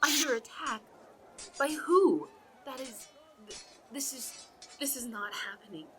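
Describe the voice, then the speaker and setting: A woman's voice: sits high, expressive, measured pace, background noise. A woman playing an anxious, lovelorn young princess bride in a romantic audio roleplay, speaking formally and hesitantly. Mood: panicked, desperate pleading. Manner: formal regal phrasing, hesitant, breathy pleading.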